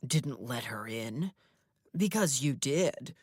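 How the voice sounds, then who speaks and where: A woman's voice: rumbling low, animated, slow, breathy edge, dry recording, studio quality, exaggerated voice. Woman voicing a menacing, possessive closet creature. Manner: coaxing then threatening, controlling.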